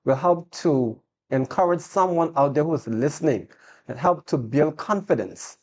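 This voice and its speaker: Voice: medium pitch, normal speed. Earnest talk-show host leading a prayer.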